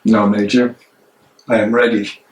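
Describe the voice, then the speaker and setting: A man's voice: lowish voice, flat delivery, a little slow, close to an everyday voice. A man playing an imprisoned, devout and defiant German theologian in a dramatic stage reading, with an impassioned, declamatory delivery. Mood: solemn, prayerful. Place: library room, live reading. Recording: echoey room, distant mic, noisy.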